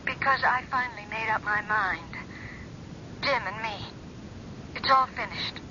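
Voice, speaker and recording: An actress playing a tired, resentful wife in a 1970s radio drama, speaking bitterly. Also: female voice, fairly high pitch, a little slow, natural voice. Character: weary, resentful wife at her limit. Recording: dull old radio broadcast with noise.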